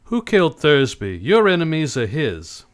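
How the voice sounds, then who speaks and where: An actor playing a cynical, wisecracking private detective in an old-time radio play, blunt, wry and clipped. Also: male voice, on the high side, wildly swooping pitch, normal speed. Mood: blunt, probing.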